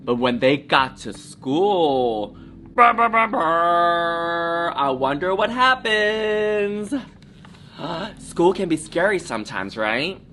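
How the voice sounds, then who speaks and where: A woman's voice: mid-range voice, a bit bright. A woman reading a children's picture book aloud in a theatrical, encouraging manner, often addressing young listeners directly. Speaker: drag artist storytime reader.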